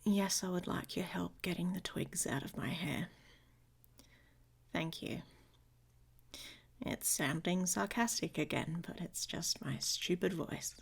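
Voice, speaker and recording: Woman doing a grumpy werewolf girl for asmr roleplay, slow n raspy, kinda sarcastic but protective, whispery. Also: fairly low pitch, exaggerated voice. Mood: hushed, guarded. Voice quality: husky, creaky, often dropping to a whisper. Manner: sarcastic, blunt, halting. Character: gruff, grumpy, protective she-wolf shifter woman, secretly affectionate. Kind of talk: intimate ASMR romance roleplay.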